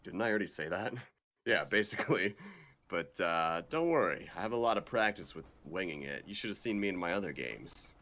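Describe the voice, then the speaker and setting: Man acting as gruff action agent, very low pitch, bright edge, cocky, deadpan, dim-witted, comedic game dub. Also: fairly quick, heavily acted. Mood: flippant. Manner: deadpan, hesitant, swearing banter. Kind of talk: comedic fan dub of a game visual novel.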